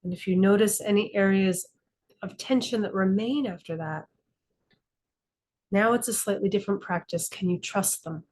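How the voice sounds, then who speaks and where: Slow, deliberate woman guiding meditation. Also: medium pitch. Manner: slow, deliberate phrasing with frequent pauses; calm, instructive. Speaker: meditation teacher. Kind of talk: guided meditation. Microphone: video call class.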